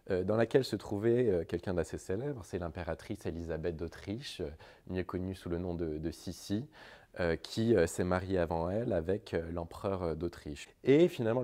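Low-voiced young man, halting explanatory delivery. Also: dark tone. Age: young adult. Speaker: presenter, archive guide. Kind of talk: semi-scripted historical presentation to camera. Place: large indoor historic library.

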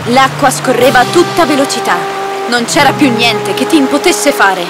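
A woman's voice: high voice, lively intonation, normal speed. A woman reading a scripted children's fairy tale as its narrator. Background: loud background music.

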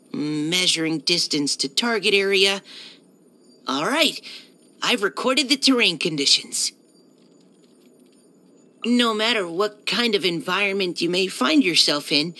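A woman voicing a polite, earnest young male military surveyor in a video game, with a hesitant, helpful manner. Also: fairly low pitch. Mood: earnest, dutiful. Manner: hesitant, soft-spoken politeness, eager to help. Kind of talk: video game character voice-over.

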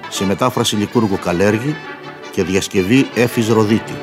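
A man reading scripted credits formally as a radio announcer. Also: lowish voice. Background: loud brass music.

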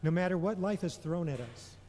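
A middle-aged man delivering a prepared homily in a measured, deliberate way, pausing often between phrases. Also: high voice, animated, dark tone. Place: large indoor church or hall. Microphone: gooseneck microphone on a lectern. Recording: long reverberation of a large hall.

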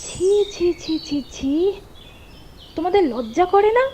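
A woman voices a fiery, protective homemaker in a doll story. The voice is high but mellow, delivered with theatrical emphasis. Light noise and birdsong run underneath. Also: lively intonation, measured pace. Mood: outraged scolding. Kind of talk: doll-story drama dialogue.

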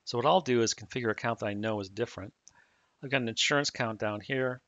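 A man calmly explaining software setup step by step in a screen-recorded tutorial. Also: mid-range voice, fast talker, no echo. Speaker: software trainer. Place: at a computer, voice-over on a screen recording. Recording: dull dry mic audio.

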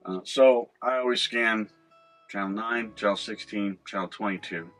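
An older man hosting a boating video, talking casually and haltingly as he explains things inside a small boat cabin. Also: lowish voice, a bit bright, faint music behind. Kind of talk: casual explanatory vlog talk.

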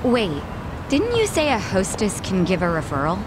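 An actress playing a poised, sharp-tongued and confident young hostess in a video game. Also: female voice, medium pitch, wildly swooping pitch, moderate pace, noisy background. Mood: assertive, cool.

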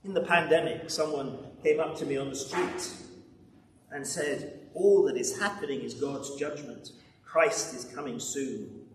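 An older man in his sixties or above preaching a sermon with emphatic delivery, dramatic pauses and rhetorical repetition. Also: on the high side. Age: 60s or older. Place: large church interior at a lectern. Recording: echoey church, distant mic, background noise.

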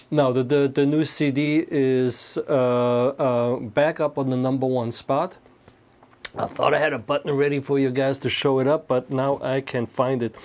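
A middle-aged man with a cold and a clogged-up voice, chatting loosely to viewers from his home studio while holding a guitar, with lots of fillers. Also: mid-range voice. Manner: relaxed, rambling with many fillers. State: congested from a cold. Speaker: guitarist hosting a home live stream. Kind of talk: casual chat to viewers.

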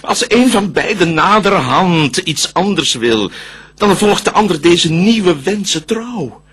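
Sharp, husky cunning devil tempter. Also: male voice, high-pitched, expressive, slow pace, exaggerated voice. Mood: calculating. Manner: theatrical, emphatic verse delivery. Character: cunning devil tempter, calculating, eager, defiant. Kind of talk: classical verse radio play acting.

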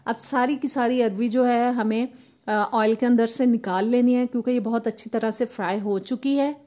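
A woman, host of a cooking channel, calmly explaining a recipe step by step in voice-over. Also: fairly high pitch, normal speed. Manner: steady step-by-step instructional tone.

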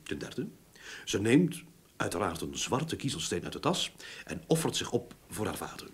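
Male radio drama role: enthusiastic explainer-detective, raspy-edged tone, very varied intonation, didactic. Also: fairly low pitch, very animated, normal speed, fairly bright tone, exaggerated voice. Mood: storytelling. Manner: eager, didactic, repeats words for emphasis. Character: talkative, enthusiastic male sleuth explaining his theories. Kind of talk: Dutch radio drama acting.

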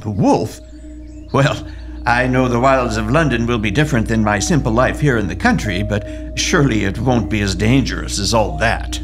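A man playing an affable, genteel Victorian country gentleman in an expressive, theatrical audio drama style. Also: on the low side, normal speed, over music, lightly acted. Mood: surprised, dismissive.

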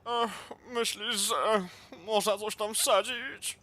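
A man's voice: high voice, fairly even intonation, slow pace, exaggerated voice. A man voicing crude, bossy anime fighters in a theatrical, profane parody cartoon fandub. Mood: pushy. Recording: loud music behind the voice.

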